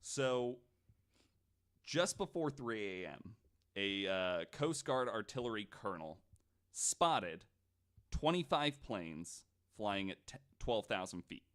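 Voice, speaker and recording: A man's voice: fairly low pitch, very animated, slow pace, a bit bright. A man telling a true story on a podcast in a casual, informal way, like a host talking among friends. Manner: informal, hedging, frequent "like".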